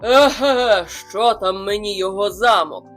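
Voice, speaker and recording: A woman's voice: measured pace, soft background music, natural voice. A woman voicing a huge, furious male bear who roars threats in a storybook reading. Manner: roaring, booming threats.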